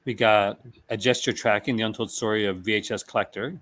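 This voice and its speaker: A man in a relaxed conversation, reading out film blurbs. He stumbles over names, restarts his words and laughs easily as he goes. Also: on the low side, fairly quick. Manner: reads product blurbs aloud, stumbling and restarting, laughs often. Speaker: host. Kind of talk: casual chat.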